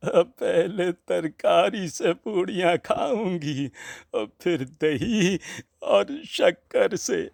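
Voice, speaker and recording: Slow, creaky, hungry old aunt. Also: male voice, sits high, animated, no echo, clean studio sound, pitched above the performer's own voice, exaggerated voice. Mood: greedy, defiant. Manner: slow, plaintive muttering to herself. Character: frail old village aunt, hungry, brooding, childishly greedy.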